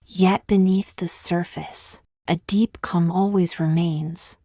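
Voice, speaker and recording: A woman leading a guided meditation in a calm, gently measured, scripted style with long pauses between phrases. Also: lowish voice, dry recording, clean studio sound.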